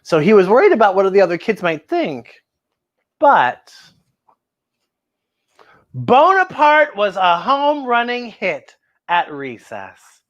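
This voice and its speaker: Female children's storytime host: very lively pitch, expressive read-aloud, exclaims and addresses young listeners directly. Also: takes it slowly, pauses often, highs cut off.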